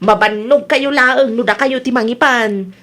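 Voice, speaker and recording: An actress doing a cheerful, gossipy Ilocano village woman with a fairly high voice. It is radio comedy drama acting, with faint background noise and chirping. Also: steady tone, unhurried, fairly bright tone. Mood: explaining.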